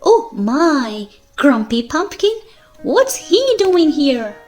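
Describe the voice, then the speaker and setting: A woman's voice: sits high, very animated, slow, darkish tone, soft background music, exaggerated voice. A woman voicing mocking onlookers in a children's storybook, exclaiming with scornful surprise. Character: mocking onlookers jeering at a pumpkin.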